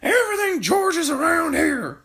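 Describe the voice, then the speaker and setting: Shrill furious old grandmother. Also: very animated, moderate pace, exaggerated voice. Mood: raging. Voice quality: shrill, screeching. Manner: yelling, shouting. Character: horrid ancient giant grandmother, furious and greedy. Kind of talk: storybook read-aloud.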